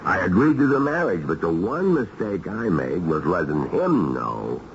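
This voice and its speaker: A gruff middle-aged American mobster, with a rock-bottom, crackling voice that swings widely in pitch. He wheedles in rough underworld slang, in old radio drama style. The recording is an old, noisy broadcast. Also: very animated, normal speed, dull audio, exaggerated voice. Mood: confiding. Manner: tough underworld slang, ungrammatical. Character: gruff, wheedling gangster father, ailing convict. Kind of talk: 1970s radio drama acting.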